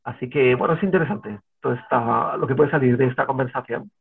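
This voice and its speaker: A man from Spain talking in a panel discussion over a video call, halting and searching for words. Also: medium pitch, gravelly, slightly rough. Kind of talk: casual discussion. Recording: tinny phone-like call audio.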